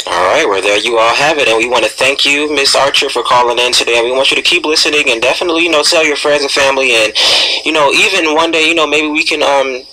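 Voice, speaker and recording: A young American man co-hosting a casual radio interview, talking haltingly with many filler phrases. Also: mid-range voice, bright, forceful tone, slight background noise, rough recording. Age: young adult. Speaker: radio show co-host.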